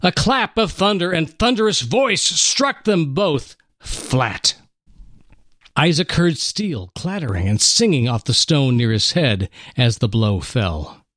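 Middle-aged guy doing a solemn dramatic reading, voice rises and falls a lot, dry close mic, soft music under. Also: dry recording. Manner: slow and solemn, drops low at line ends. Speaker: radio host reading a dramatic story. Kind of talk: dramatic scripted narration.

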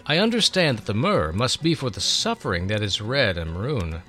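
A man's voice: lowish voice, sing-song, normal speed, fairly bright tone, faint music behind. A man playing an earnest young male servant, inquisitive and deferential, in a dramatic storybook reading. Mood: earnest, questioning.

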